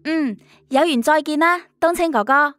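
A woman's voice: sits high, moderate pace, fairly bright tone, no room sound. A woman playing a sweetly courteous young cultivator in an audiobook drama. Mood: polite farewell.

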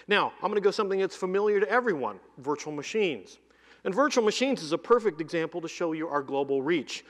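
A middle-aged man presents a software demo from a conference stage. His voice sits a bit high and stays energetic as he rattles off long lists. A headset mic picks him up, and the big hall adds a long reverb tail. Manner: energetic, lists items in quick runs. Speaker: keynote presenter demoing cloud software. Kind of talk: live keynote demo.

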